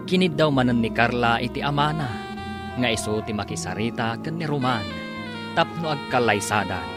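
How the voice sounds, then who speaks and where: Male announcer, medium pitch, dramatic scripted radio narration, projected, drawn-out titles, music behind.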